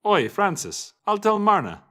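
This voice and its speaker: A man voicing a brisk, familiar barmaid, a grown woman working a busy tavern in a fantasy story. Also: high voice, huge pitch swings, pushed and bright, voice pushed up, heavily acted. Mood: brisk, loud. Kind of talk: fantasy story character dialogue.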